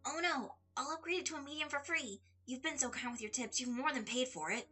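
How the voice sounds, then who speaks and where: A friendly young male barista from an audio story, played by a woman. He is eager and reassuring, with a raised, lifted pitch over music. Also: brisk, breathy edge, exaggerated voice. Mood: cheerful, reassuring. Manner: bright, reassuring, generous.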